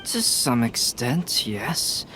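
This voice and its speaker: Measured, calm young scholar. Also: male voice, mid-range voice, noisy background. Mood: thoughtful, composed. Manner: measured, precise, thoughtful. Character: calm, analytical young male scholar, polite and reserved. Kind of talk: video game voice acting. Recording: game music behind the voice.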